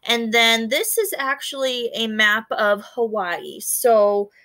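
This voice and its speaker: A woman teacher reading a book aloud to fourth graders, pausing to explain and prompting them to notice and wonder. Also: on the high side, pushed and bright. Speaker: elementary school teacher. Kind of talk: read-aloud lesson for fourth graders, explaining as she goes.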